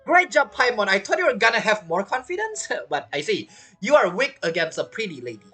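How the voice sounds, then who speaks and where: A woman's voice: medium pitch, huge pitch swings, a little fast, fairly bright tone, husky, faint music behind. A woman giving casual, chatty gameplay commentary as a streamer, with teasing asides. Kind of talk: casual game playthrough commentary.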